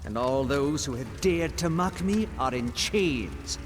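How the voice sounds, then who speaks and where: An actor playing a gloating, scheming god of mischief in a video game, grandiose and mocking in archaic speech. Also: male voice, medium pitch, animated, takes it slowly. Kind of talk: theatrical video game villain acting. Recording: long hall reverb, faint background noise.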